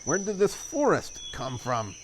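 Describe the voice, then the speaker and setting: A man's voice: a little high, huge pitch swings, unhurried, background noise. A man voicing a grumpy young woman in a visual novel, talking in a blunt, sardonic, exasperated way. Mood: irritated. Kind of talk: visual novel character read aloud.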